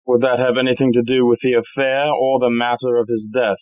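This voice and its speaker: Woman playing a very low-voiced, wry gentleman. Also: flat delivery, brisk, no echo, muffled recording. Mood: dry, cool. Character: wry, unflustered young gentleman nephew. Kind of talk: audio play acting.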